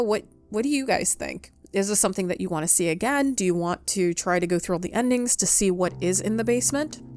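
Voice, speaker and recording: A woman giving casual, chatty gameplay commentary, talking affectionately to her viewers as host of her channel. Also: mid-range voice. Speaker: video game streamer, channel host.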